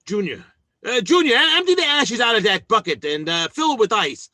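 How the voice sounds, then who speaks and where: A man's voice: high-pitched, very animated, brisk, bright, forceful tone, dry recording, clean studio sound, heavily acted. An actor playing a blustering, eager family man in an old-time radio comedy, with a flustered, bumbling way of talking. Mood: excited, bossy.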